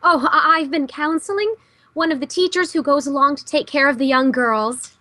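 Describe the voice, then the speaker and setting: High-pitched, fluttery schoolteacher played by a woman. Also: moderate pace, sharp tone, dry recording. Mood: prim, nervous.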